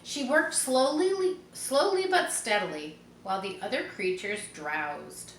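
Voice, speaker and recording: A woman reading a children's story aloud in an expressive, informal way, as a storybook narrator. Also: medium pitch, a little slow. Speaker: storybook reader. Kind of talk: children's read-aloud with casual asides. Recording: roomy echo, faint background noise.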